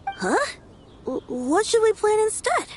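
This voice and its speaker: A woman's voice: very high voice, very animated, unhurried, a little mellow, faint music behind, exaggerated voice. A woman voice acting an eager, stubborn small farm child in a video game, with an insistent, childlike manner. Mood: startled.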